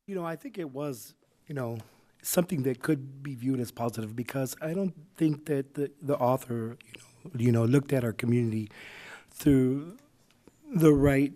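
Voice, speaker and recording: A young man, a vice mayor, speaking loosely at a city council meeting while hoarse from the flu, with many fillers. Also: medium pitch, moderate pace, mellow. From United States. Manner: loose, conversational, many "you know" fillers. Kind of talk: public meeting remarks.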